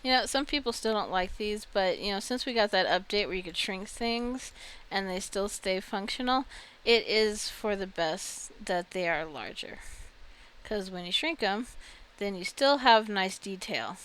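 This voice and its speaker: A woman's voice: mid-range voice, animated, unhurried, a bit bright, smooth. A woman giving casual video game commentary, chatting and thinking aloud as she reacts to what she finds. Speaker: video game streamer and host.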